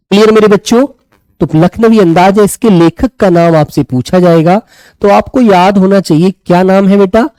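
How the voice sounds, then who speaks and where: A man's voice: high-pitched, dark tone, no echo. A man teaches an online exam class. His throat is a little sore. He checks in fondly with his students and repeats key phrases for note-taking. The sound is close and dry. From Uttar Pradesh, India. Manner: affectionate check-ins with students as "beta". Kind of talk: live online lesson, addressing students.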